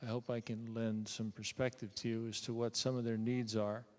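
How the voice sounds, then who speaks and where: An older man introducing himself formally to a public panel, courteous and deliberate, like a retired officer. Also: medium pitch, little pitch movement. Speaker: panelist, retired military officer and school principal. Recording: reverberant hall sound.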